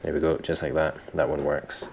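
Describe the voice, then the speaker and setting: Very deep, gravelly-voiced man teaching software. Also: level tone, a little fast, slight background noise. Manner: informal, self-deprecating, thinking aloud. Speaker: tutorial presenter. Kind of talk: casual screen-recorded software tutorial.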